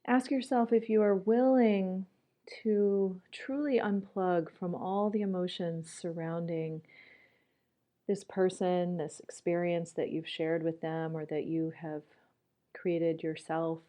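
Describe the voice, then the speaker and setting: A woman leading a guided meditation in a calm, gentle manner, leaving long pauses as she goes. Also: lowish voice.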